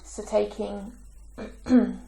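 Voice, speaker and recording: Slow, croaky-voiced woman teaching a craft. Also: mid-range voice, fairly even intonation, warm tone, a little hiss or hum, low-quality recording. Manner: slow, patient instructions. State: croaky, clearing her throat. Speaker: craft tutorial host. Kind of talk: step-by-step craft tutorial.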